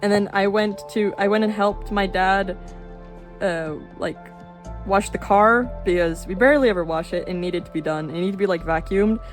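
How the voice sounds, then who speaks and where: A woman of twenty-one chatting casually in a rambling way while showing her sketchbook, with fillers and quick laughs. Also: mid-range voice. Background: soft background music.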